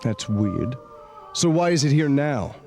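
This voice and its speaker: An actor playing a brash, sarcastic young outlaw who talks in a blunt, mocking, quick-tempered way in a video game. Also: male voice, medium pitch, big pitch swings, creaky, darkish tone, faint music behind.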